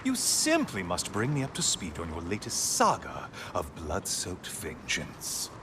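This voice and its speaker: A man's voice: low-pitched, huge pitch swings, measured pace, background noise, full character voice. An actor playing a sardonic male sun god, a severed talking head, in a video game, speaking with mocking, theatrical sarcasm. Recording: game audio with loud music behind.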